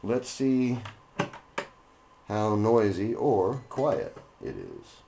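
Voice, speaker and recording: A man giving a casual, unhurried hands-on demonstration, explaining each step as he works. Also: fairly low pitch, huge pitch swings, vocal fry, dark tone. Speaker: hobbyist demonstrator, host. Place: indoor hobby room. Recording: noisy room, machine noise.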